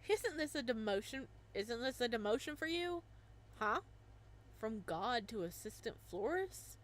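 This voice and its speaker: A woman's voice: on the high side, expressive, measured pace. A woman voicing a cheerful, goofy young male god of winter who stammers and rambles bashfully. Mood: sheepish.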